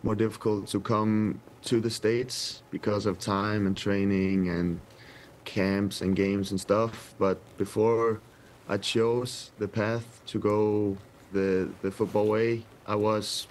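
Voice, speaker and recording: Soft-spoken young Danish man, low flat voice. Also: takes it slowly, a little hiss or hum. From Denmark. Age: 20s. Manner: soft-spoken, hesitant with many ums and restarts. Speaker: footballer guest. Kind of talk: remote interview answers. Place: indoor press room with sponsor backdrop. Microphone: webcam video call.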